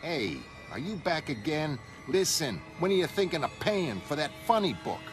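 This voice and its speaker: Folksy street news seller played by a man, raised pitch, rambling, gruff yet soft-hearted. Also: very animated, moderate pace, music in the background. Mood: irritated. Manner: stammering, repetitive, colloquial. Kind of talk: motion comic dramatic acting.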